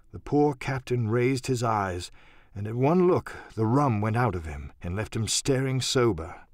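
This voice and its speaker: A man narrating an audiobook as a dramatic storyteller, theatrical and expressive in his delivery. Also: medium pitch, dry recording, studio quality.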